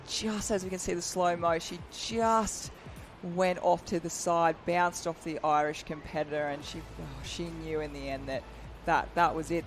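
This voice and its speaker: A man giving expert colour commentary at a live sports event, enthusiastic and explaining technique in a chatty way. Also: on the high side.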